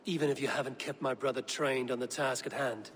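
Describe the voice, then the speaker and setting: An actor playing a blunt, loyal Viking warrior in a video game, with measured, stern, formal speech. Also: male voice, mid-range voice, steady tone, fairly bright tone, a little hiss or hum, lightly acted. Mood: wry, stern.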